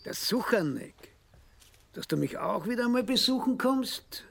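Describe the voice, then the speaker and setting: An actor playing a sly, folksy old farmer in a radio play. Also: male voice, very high-pitched, expressive, unhurried, very noisy, full character voice. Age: older. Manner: folksy, mocking, gravelly.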